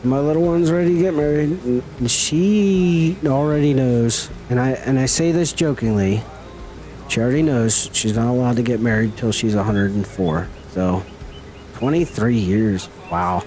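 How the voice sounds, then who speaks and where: A man's voice: mid-range voice, normal speed, dark tone, low-quality recording. A middle-aged man chatting casually with viewers as he streams a game, folksy and teasing. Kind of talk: casual live-stream chat while gaming.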